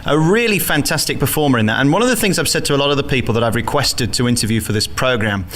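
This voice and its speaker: A man interviewing a stage actor, putting his questions in a brisk, rehearsed way. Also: medium pitch, expressive, a bit bright.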